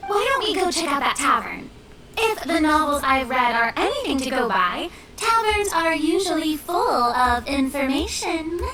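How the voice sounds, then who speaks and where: A woman's voice: high-pitched, expressive, normal speed, over a noisy crowd. A woman voicing an eager, cheerful young adventurer who talks in an upbeat, friendly way. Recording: echoey game audio with music behind.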